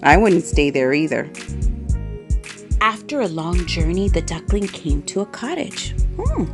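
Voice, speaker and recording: Animated woman storyteller reading slowly for kids. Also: on the low side, music in the background. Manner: dramatic, animated, emphatic. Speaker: storyteller reading aloud for children. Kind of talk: expressive children's story narration.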